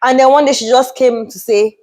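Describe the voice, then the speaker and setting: A woman's voice: sits high, steady tone, fairly quick, darkish tone. A woman from Nigeria with a Nigerian accent, co-hosting a family channel in a playful, teasing chat full of laughter. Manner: playful and teasing, laughs often, acts out quotes. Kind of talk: casual chat.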